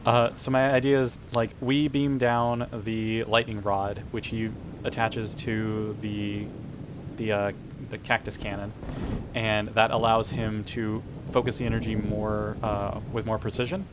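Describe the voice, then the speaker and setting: A man with a slightly low voice chats as a player at a tabletop game. He explains and questions in a dry, measured way. Rain and thunder ambience is clearly heard behind him. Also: flat delivery, background noise. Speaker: player in a tabletop sci-fi role-playing game. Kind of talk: casual game-table chat.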